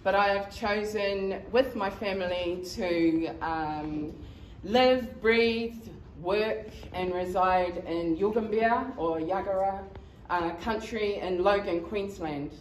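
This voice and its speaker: A woman from New Zealand presents to a conference audience at a slow, halting pace, filling gaps with ums and nervous laughter. Her voice carries through an echoey hall, picked up from a distance over faint background noise. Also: mid-range voice. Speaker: community member presenter.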